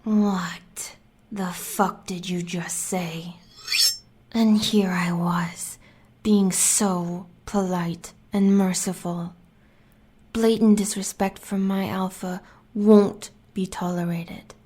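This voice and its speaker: Woman playing a slow, sinister American werewolf huntress. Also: on the low side, steady tone, warm tone. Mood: cold fury. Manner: slow, sweet-talking menace with breathy whispers. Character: sadistic werewolf huntress, adult woman, sweetly menacing, devoted lover. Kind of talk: audio roleplay acting.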